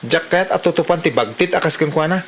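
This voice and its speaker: A slightly high-pitched village man played by a man, aggrieved and complaining. It is comedy drama acting in a northern Philippine accent, with faint sizzling behind. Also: fast, slight background noise, close to an everyday voice.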